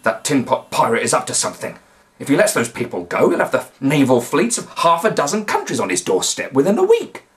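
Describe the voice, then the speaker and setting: A man voicing a cheerful, clever cow professor in a brisk, animated way. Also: medium pitch, pitched above the performer's own voice. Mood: puzzled, urgent.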